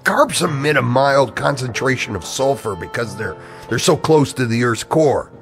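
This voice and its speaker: An actor playing a grave, embittered conspirator in an old-style radio drama, speaking with ominous, insistent drama. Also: male voice, mid-range voice, animated, moderate pace, a bit bright, faint music behind. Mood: earnest warning. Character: grave, embittered male conspirator hunting hidden creatures. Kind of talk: 1940s radio drama acting.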